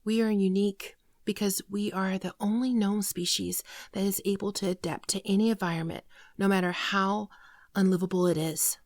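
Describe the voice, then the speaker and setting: A woman voicing a gentle, wounded shapeshifter alien in a soft, earnest, confessional ASMR roleplay, often close to a whisper. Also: mid-range voice, fairly even intonation, normal speed, dry recording, close to an everyday voice. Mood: solemn, explaining. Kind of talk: ASMR roleplay monologue, scripted.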